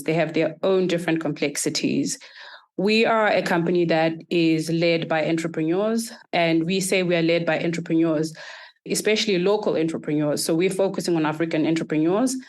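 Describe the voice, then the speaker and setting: Woman, lower voice, measured, structured, interview guest. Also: a little mellow. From Zimbabwe. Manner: measured, structured, explains in lists. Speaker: guest, startup accelerator executive. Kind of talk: podcast interview answers.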